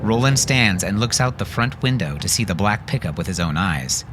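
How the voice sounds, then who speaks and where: A man's voice: on the low side, animated, normal speed, background noise. A man reading dramatic scripted narration as an audiobook narrator.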